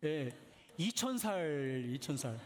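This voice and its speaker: Male preacher, somewhat high voice, conversational sermon delivery, question-driven, repeated short affirmations. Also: big pitch swings, slow, darkish tone, slight background noise. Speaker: preacher at a church retreat.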